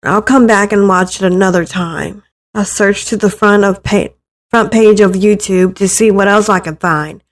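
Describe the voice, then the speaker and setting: A woman's voice: medium pitch, steady tone, moderate pace. A woman narrating a first-person story expressively, acting out the thoughts as she reads. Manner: acts out the narrator's thoughts, with stumbles in reading. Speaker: narrator reading a visual novel aloud.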